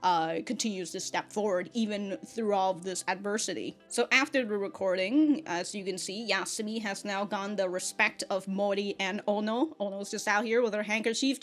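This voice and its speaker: A young woman with a fairly high voice talks through a review in a loose, rambling way. She hosts casually, using informal fan slang and a giggly manner when retelling scenes. Music plays faintly behind her. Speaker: anime reaction and review host. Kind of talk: casual rambling episode review with acted-out quotes.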